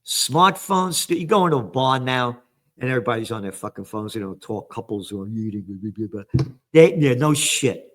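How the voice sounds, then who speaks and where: An older man hosting a news commentary, reading headlines and ranting with angry, sarcastic, profane remarks that swing from low mutters to shouts. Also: expressive, moderate pace. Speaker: trends commentator and host.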